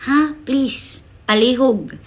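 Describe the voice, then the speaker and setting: A woman's voice: high-pitched, slow pace, slight background noise. A woman from the Philippines with a Bisaya Filipino accent, chatting casually as a vlogger and giving frank, emphatic advice with rhetorical questions and little tag words. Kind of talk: casual chat to camera, giving blunt advice.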